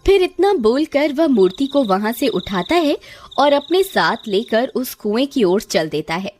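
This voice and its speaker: A woman narrates a scripted cartoon tale in a high voice. She works as the storyteller between the acted scenes. Faint noise sits behind her. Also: very animated, unhurried, darkish tone.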